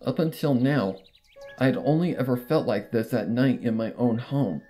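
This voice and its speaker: A reader voicing an anxious female pony who narrates a horror story in dramatic, expressive storybook style. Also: medium pitch, moderate pace, lightly acted. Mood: anxious dread. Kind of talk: dramatic scripted fanfic narration. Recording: music under the reading, played within video. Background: background music.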